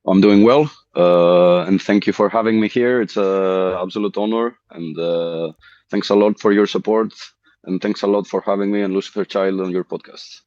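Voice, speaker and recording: Guy guesting on a podcast, lowish voice, muffled dry call audio, kinda halting. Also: steady tone, a little mellow. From Greece. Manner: eager, apologetic, swears in surprise, halting. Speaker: guest musician. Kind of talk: casual interview chat.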